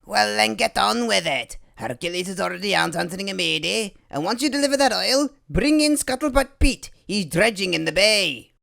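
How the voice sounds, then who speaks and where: A man's voice: high-pitched, lively intonation, normal speed, vocal fry, hardly any pauses, bright, forceful tone, pitched above the performer's own voice, full character voice. A man voicing a brusque, bossy harbour boat that barks out orders. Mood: impatient.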